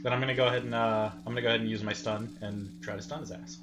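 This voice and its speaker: Casual man playing, clearly noisy recording. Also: medium pitch, fairly even intonation, racing. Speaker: player in a tabletop game. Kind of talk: casual game-table chat.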